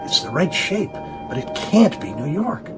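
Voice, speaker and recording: Uneasy, high-voiced flight crewman. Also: big pitch swings, unhurried, music in the background. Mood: disbelieving. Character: uneasy male flight crewman, disbelieving and awed. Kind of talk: radio drama acting.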